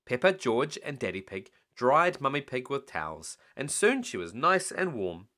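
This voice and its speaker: A man reading a picture book aloud as a bedtime story, slow and expressive. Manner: slow, expressive reading with lively swings of pitch.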